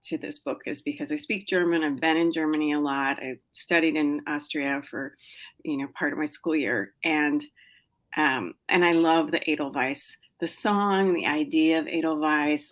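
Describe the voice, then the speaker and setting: Low-voiced chatty woman novelist. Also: fairly even intonation, normal speed, a bit bright. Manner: chatty, many fillers and restarts. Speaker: novelist guest. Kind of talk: casual interview answers.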